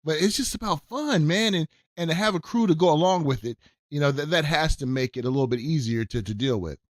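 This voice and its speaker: Male host, slightly high voice, eager and stammery, dry booth sound. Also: a little fast, no echo. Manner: enthusiastic, stammering restarts. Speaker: radio show host. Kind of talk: radio interview.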